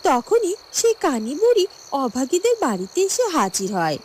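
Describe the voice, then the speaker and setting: A woman's voice: high voice, wildly swooping pitch, moderate pace, a little mellow, slight background noise. A woman narrating a fairy-tale cartoon in a scripted storytelling style.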